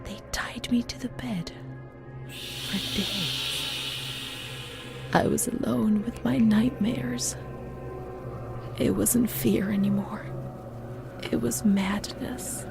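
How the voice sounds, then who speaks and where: A woman playing a fragile, haunted young former asylum patient in a narrative game, delivering a slow, halting, confessional monologue in a breathy voice that often sinks to a whisper. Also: mid-range voice. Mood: traumatized, anguished. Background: music.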